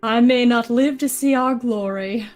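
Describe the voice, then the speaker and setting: A woman's voice: a little high, a little slow, lightly acted. A woman voicing a confident, flirty cartoon bat, teasing and theatrical as she reads lyrics. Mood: dramatic. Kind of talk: playful character reading of musical lyrics. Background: music.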